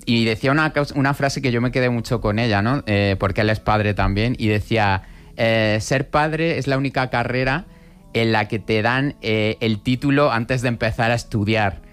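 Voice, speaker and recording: Un hombre que comenta películas en un programa de radio, explicando con calma entre muletillas y preguntas retóricas. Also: male voice, medium pitch, smooth. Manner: explanatory, with many fillers and tag questions. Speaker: radio film commentator. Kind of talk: casual radio chat about films.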